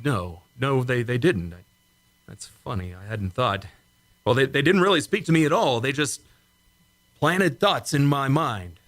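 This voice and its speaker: A man's voice: medium pitch, animated, fairly bright tone, no room sound. Man acting a shaken, urgent rocket pilot, swooping delivery. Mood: uneasy, defensive. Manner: urgent, halting, emphatic. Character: shaken, insistent male rocket test pilot who met aliens. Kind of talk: old-time radio drama acting.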